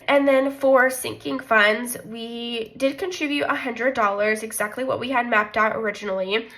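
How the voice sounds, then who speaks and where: A woman's voice: on the high side, fairly bright tone. A young woman with a congested, slightly nasal voice, chatting casually and self-deprecatingly as she walks through her monthly budget. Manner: chatty, self-deprecating, thinks aloud with frequent likes. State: congested from a cold. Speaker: budgeting vlogger.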